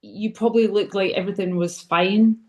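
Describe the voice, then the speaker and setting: A woman coach interviews her client on a video call, with a mid-pitched voice. She asks steady questions and answers often with short, affirming replies. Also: normal speed. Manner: affirming, brief acknowledgements. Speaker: sober coach and host.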